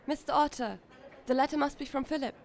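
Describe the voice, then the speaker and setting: A woman's voice: high-pitched, fairly even intonation, a little fast, creaky, darkish tone. A woman voicing an earnest, emotional young woman from South Korea. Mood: excited. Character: eager young woman, Bumsuk.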